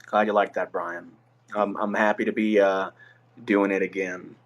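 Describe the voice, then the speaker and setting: A young man hosting a livestream, telling stories in a relaxed, rambling way with filler words, his voice a bit rough. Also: sits low, normal speed, lo-fi sound. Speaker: livestream host. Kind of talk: casual livestream chat.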